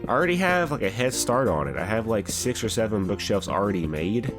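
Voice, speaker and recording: Stuffy-sounding low-voiced young man. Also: very animated, fairly quick. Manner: laid-back, rambling, says "bro" often. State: stuffy from a cold. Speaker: gaming channel host. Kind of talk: casual gameplay commentary. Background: background music.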